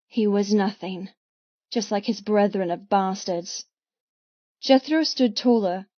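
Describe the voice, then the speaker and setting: A woman reading dramatic first-person audiobook narration in an intense, deliberate manner. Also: medium pitch, dry recording, lo-fi sound.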